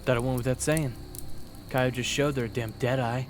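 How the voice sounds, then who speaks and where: A blunt, sardonic warrior companion, played by a man, talking in a level middle voice. Game-style music plays clearly underneath the lines. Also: medium pitch, fairly even intonation, moderate pace, voice pushed up, close to an everyday voice. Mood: plotting. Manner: dry, sarcastic. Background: background music.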